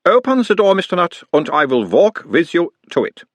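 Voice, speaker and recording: A calm analyst with a mild Uberwaldian accent coaxes answers in a raised, lilting voice, as a man puts him on. Each question is gentle and probing. The recording is dry and close. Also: high voice, moderate pace, dry recording, voice pushed up. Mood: coaxing. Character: orc playing his own analyst, calm, probing.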